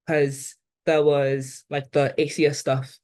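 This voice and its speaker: A woman in her twenties from Ghana, with an accent that blends Ghanaian and British, reflecting thoughtfully as an interview guest and often pausing on "like" and "um". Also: extremely low pitch, flat delivery, darkish tone. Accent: blend of Ghanaian and British, now neutralized. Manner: thoughtful, with many "like" and "um" fillers.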